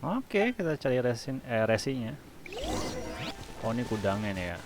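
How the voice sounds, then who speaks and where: A man's voice: mid-range voice, big pitch swings, brisk, noisy background. A man with an Indonesian accent giving casual let's-play commentary as a gaming streamer. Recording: game music behind voice.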